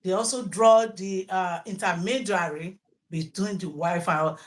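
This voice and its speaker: A woman's voice: medium pitch, steady tone, a little slow. A middle-aged Nigerian woman, a native Yoruba speaker, talking at length as a teacher being interviewed, often repeating words and restarting. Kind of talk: interview answers.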